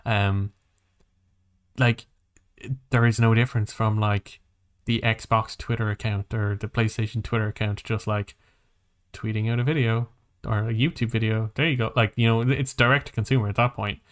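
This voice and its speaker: A man co-hosting a podcast in casual conversation, talking in a rambling, halting way and often rephrasing mid-sentence. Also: lowish voice, level tone. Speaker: podcast co-host.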